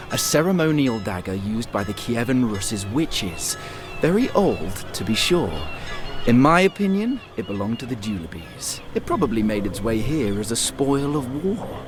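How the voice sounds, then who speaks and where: An actor playing a quarrelsome know-it-all antiques merchant in a medieval video game, blunt and scornful. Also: male voice, mid-range voice.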